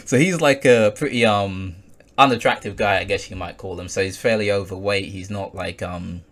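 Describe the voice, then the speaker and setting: Twenty-year-old male guest, lowish voice; halting, filler-laden casual talk. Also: fairly bright tone. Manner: hesitant, full of ums and likes, laughs often. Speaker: podcast guest. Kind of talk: casual conversation.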